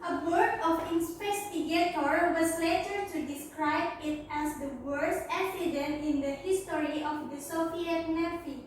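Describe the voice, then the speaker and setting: A woman student speaks slowly and carefully in a high voice, as if reading in class. The recording is distant with strong room echo. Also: steady tone. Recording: echoey room from afar, faint noise.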